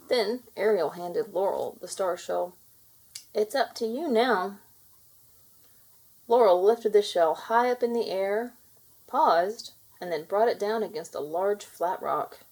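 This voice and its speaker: A woman reads a children's story aloud with expressive delivery. Her pitch moves around in a lively way, and her voice has a husky, slightly creaky grain. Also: rough recording. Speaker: storybook reader.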